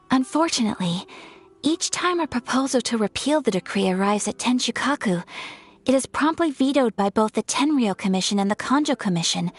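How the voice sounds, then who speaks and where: A composed young noblewoman in a game, pitched a little high with a soft breathy edge. She speaks formally and courteously, every phrase measured. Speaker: noble of a ceremonial commission. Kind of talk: video game voice acting.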